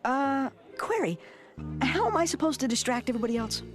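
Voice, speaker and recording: A woman's voice: high voice, very animated, a little fast, soft background music. A woman playing a hesitant, sensible young best friend in a cartoon. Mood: doubtful.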